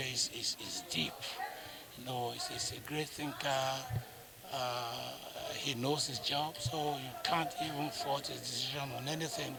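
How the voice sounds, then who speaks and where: A man with a Nigerian accent reflects on his craft as an actor. His mid-pitched voice has a gravelly creak, and he speaks deliberately, pausing and repeating phrases. A clear murmur of people sits behind him. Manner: deliberate, halting, frequent pauses and repeated phrases. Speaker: stage actor being interviewed. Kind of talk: reflective interview talk.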